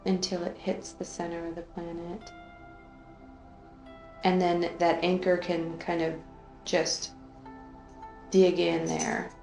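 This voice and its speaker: A woman leading an unscripted guided meditation in a soothing, drawn-out way, gently repeating phrases. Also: lowish voice, creaky. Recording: roomy echo, faint music underneath.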